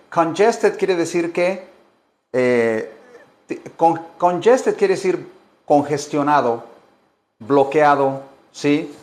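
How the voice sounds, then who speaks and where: A man teaching English, switching between languages and repeating each phrase slowly for learners. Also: a little high. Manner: slow, deliberate drilling with many repetitions. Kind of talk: bilingual language lesson.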